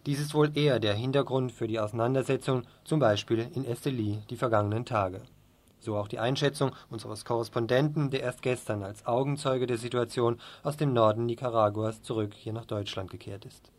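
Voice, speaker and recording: A man's voice: medium pitch, steady tone, fairly quick. A man presenting a scripted news report on the radio.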